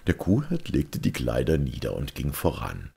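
A man reading a fairy tale as an expressive scripted narration, like an audiobook storyteller. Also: a bass voice, moderate pace, gravelly, husky.

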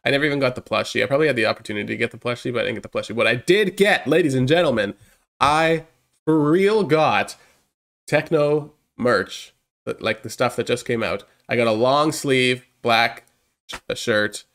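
A man's voice: mid-range voice, a little fast, a bit bright. A young man with a stuffed-up, congested voice hosting a chatty reaction video from a small room on a headset mic. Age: young adult. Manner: chatty, hyped, rambling. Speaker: reaction channel host. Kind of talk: casual reaction commentary.

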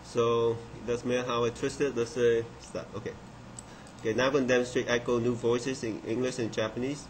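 Man in his thirties, software demonstrator, hesitant unscripted speech, mid-pitched voice, audible noise. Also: flat delivery. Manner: halting, unscripted delivery. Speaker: software developer, presenter. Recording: clearly noisy room recording.

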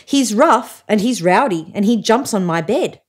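A woman's voice: mid-range voice, animated, measured pace, breathy edge. A woman voicing a sly, earnest little schoolgirl from a storybook in an emphatic, sing-song way. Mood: insistent. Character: little schoolgirl, sly, earnest, insistent about her monster.

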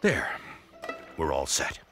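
A man voicing a calm, patient father bear in a children's cartoon. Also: takes it slowly. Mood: calm, fatherly. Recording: loud music behind the voice.

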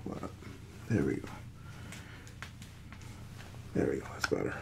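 A soft-spoken man in his thirties playing a barber in an ASMR roleplay, murmuring short remarks close to the mic. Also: measured pace. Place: small indoor room set up as barbershop. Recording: loud electric clipper buzz and handling noise. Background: electric razor buzz, barber tool sounds.

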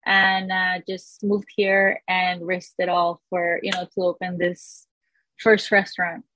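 A woman in her thirties from Venezuela, a chef answering interview questions in a relaxed way with many "you know"s. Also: fairly low pitch, slow pace, no echo, muffled recording.

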